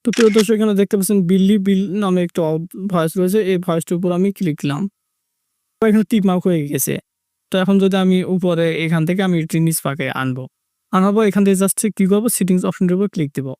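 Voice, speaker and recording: A man with a high voice walks viewers through a tutorial step by step. His delivery is fast and repetitive in its instructions, and the recording is dry and close. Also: dark tone, no echo. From Bangladesh. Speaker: tech tutorial host. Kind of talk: step-by-step screen tutorial narration.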